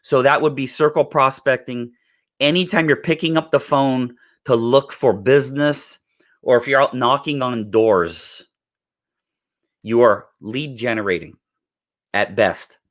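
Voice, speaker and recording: Raspy emphatic man teaching a training. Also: mid-range voice, steady tone, unhurried, fairly bright tone. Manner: emphatic, repeats points, clears throat often. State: raspy voice, recovering from illness. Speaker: real estate trainer. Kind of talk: live training presentation.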